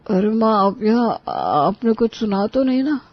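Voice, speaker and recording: A man's voice: high-pitched, expressive, measured pace, lo-fi sound, heavily acted. A man voicing a henpecked, complaining elder brother in a Hindi cartoon, talking in a familiar, plaintive way. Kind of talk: dramatic Hindi cartoon dialogue.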